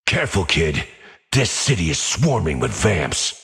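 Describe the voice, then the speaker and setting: An actor voicing a gruff, blunt, grim vigilante in a video game. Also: male voice, sits low, sing-song, a little slow, fairly bright tone, full character voice. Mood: gruff, wry.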